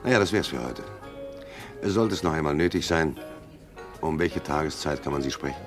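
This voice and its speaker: An actor playing a dry, unhurried police commissioner in a German radio crime drama, asking measured questions. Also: male voice, extremely low pitch, over music. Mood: dry, polite. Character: dry, unhurried male Paris police commissioner investigating a murder.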